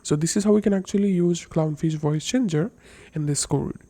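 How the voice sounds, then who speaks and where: Tutorial presenter: dark, relaxed timbre, step-by-step instructional read, slightly non-native phrasing. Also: mid-range voice, normal speed, no room sound. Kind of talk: step-by-step software tutorial narration.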